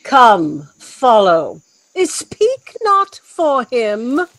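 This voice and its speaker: A woman playing a stern, commanding sorceress mother in a Shakespearean audio drama, theatrical and sharp in rebuke. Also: fairly high pitch, huge pitch swings, very slow, exaggerated voice, low-quality recording.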